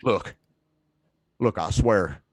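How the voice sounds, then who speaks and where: A man's voice: lowish voice, expressive, extremely slow, breathy edge, no room sound, studio quality, heavily acted. A man acting a frightened, desperate man who stammers and pleads his innocence in a radio play.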